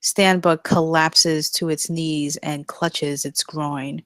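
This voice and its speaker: A woman with a low voice reads out stage directions for a comedy table read. Her scripted narration is recorded dry, without room sound. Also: fairly even intonation, slow, a bit bright, dry recording.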